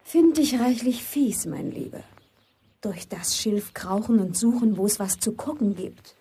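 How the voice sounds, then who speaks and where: A woman's voice: medium pitch, unhurried, warm tone, breathy edge. A woman playing a prickly, scornful young woman in a radio play, curt and sarcastic in naturalistic dialogue.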